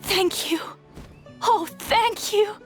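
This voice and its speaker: A woman's voice: very high-pitched, drawn-out words, heavily acted. A woman voicing an earnest, eager but insecure young warrior trainee in a video game, breathless and polite. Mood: overjoyed, amazed. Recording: music behind the voice.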